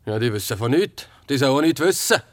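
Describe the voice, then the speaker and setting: A male actor plays a guarded working man in a radio play. He speaks at a middle pitch in short colloquial phrases and presses his points stubbornly. The sound is dry and close. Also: expressive, fast, no room sound, close to an everyday voice. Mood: agitated, insistent. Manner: terse, insistent, colloquial. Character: secretive, brooding working man applying for a post. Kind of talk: radio drama acting.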